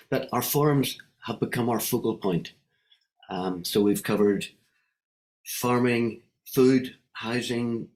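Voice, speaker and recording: A man's voice: on the low side, darkish tone, low-quality recording. Northern Irish man on a video call. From Northern Ireland. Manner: friendly, hesitant with fillers. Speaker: guest speaker from a Welsh wellbeing group. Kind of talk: online meeting contribution.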